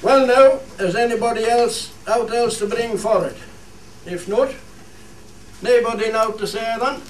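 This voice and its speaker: A man's voice: very high voice, a little slow. A man playing a hearty old shepherd who chairs a village meeting in a radio play, with a Cumbrian accent and a homely, rallying manner. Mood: businesslike, genial. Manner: homely, rallying, repeats phrases. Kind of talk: dialect radio play acting. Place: theatre studio. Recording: roomy, distant mic, faint noise.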